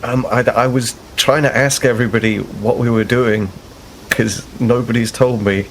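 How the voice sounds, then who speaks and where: A man playing an earnest, sheepish young starship ensign in a tabletop roleplay, polite and halting. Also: mid-range voice, steady tone, a little hiss or hum, lightly acted, poor audio.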